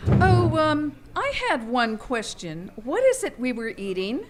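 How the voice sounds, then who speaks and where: Woman playing a giddy, flirty wife, very expressive. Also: high-pitched, unhurried. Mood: puzzled. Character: flirty, giddy, naive married woman hotel guest. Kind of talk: live stage radio comedy acting. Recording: live theater stage with background noise.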